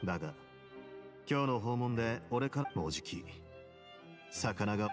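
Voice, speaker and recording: Actor as composed, sardonic duke of a game prison, cool and assured, clear music underneath. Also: male voice, medium pitch, animated, normal speed, lightly acted. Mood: wry, assured. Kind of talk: Japanese game voice acting.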